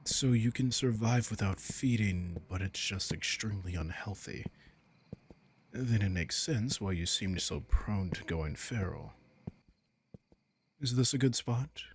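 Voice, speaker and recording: A man's voice: lowish voice, expressive, measured pace, slight background noise. A man playing a caring vampire king in an intimate roleplay audio, speaking in a casual, teasing, protective way. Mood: concerned, gentle. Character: commanding but caring male vampire king.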